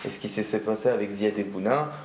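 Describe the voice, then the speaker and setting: A man giving an interview, calmly explaining. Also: lowish voice, fast, a little hiss or hum. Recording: roomy with music behind.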